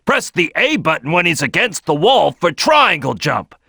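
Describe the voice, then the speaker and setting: Brash gravelly cartoon crocodile, high-pitched and forceful. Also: male voice, big pitch swings, moderate pace, no echo, clean studio sound, full character voice. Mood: instructive, upbeat. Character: big brash loud male cartoon crocodile, team leader. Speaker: video game character giving gameplay tips. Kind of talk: animated game tutorial voice lines.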